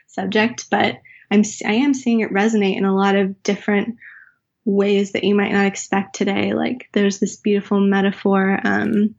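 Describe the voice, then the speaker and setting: Husky american-raised woman thinking out loud in an interview, kinda flat, dull lo-fi audio. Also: mid-range voice, normal speed. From United States. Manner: thoughtful, searching, with restarts and filler. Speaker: writer and teacher, interview guest. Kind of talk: reflective conversational interview. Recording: dull lo-fi recording.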